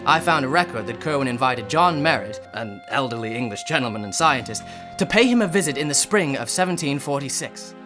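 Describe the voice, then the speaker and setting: A man playing an investigator who soberly narrates his findings in measured, scripted radio drama style. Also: medium pitch, animated, lightly acted. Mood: sober, intriguing. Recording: loud plucked guitar music behind.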